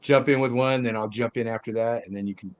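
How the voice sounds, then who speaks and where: A man's voice: mid-range voice, steady tone, fast talker, dull audio. Male host, middle-aged, mid-range voice, informal and loose movie talk. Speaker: host of a movie review show. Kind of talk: casual movie discussion.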